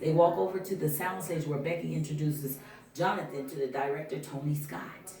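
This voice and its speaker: A woman's voice: low-pitched, steady tone, moderate pace, a crowd in the background. A woman reading out scene headings and stage directions as scripted narration at a film table read. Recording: roomy, distant mic.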